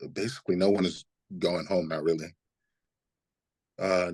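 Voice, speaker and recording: A man playing a weary, gentle office worker in a screenplay table read, hesitantly consoling a loved one. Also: deep voice, breathy edge, dry recording, heavily acted.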